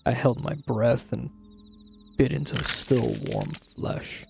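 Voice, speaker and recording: A man playing a troubled, guilt-ridden narrator confessing a grim childhood memory in a brooding, dramatic horror-story style, with a gravelly, husky voice. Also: mid-range voice, huge pitch swings, slow, heavily acted. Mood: dark, intense. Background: music.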